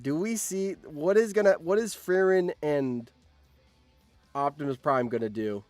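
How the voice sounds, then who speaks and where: A man hosting a live stream, reading out game events and reacting in an animated, exclamatory way with repeated phrases. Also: a little high, measured pace, dry recording, soft background music.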